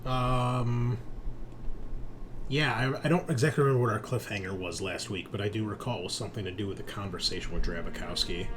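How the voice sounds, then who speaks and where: A man's voice: lowish voice, brisk. A man narrating a tabletop roleplaying game as the game master. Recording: noticeable background noise.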